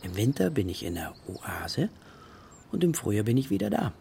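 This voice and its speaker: A man's voice: medium pitch, sing-song, moderate pace, creaky, dark tone. An actor playing a reflective, patient, bird-loving father in a children's radio play. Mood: gentle, musing. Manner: musing, patient. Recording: outdoor birdsong noise behind voice. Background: birdsong.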